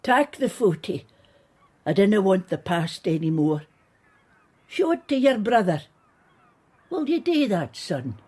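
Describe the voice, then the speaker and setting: A woman playing a stubborn, wistful old Scottish aunt with a husky voice in a radio drama. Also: mid-range voice, sing-song, unhurried, long pauses, a little mellow. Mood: weary, pleading. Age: older.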